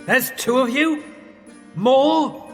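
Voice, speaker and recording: High-pitched, melodramatic, horrified man, echoing. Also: drawn-out words, full character voice. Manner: theatrical, declamatory. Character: alarmed, melodramatic man crying out at horrors. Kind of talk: 1920s-set radio drama acting. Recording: long echoing reverb with music underneath.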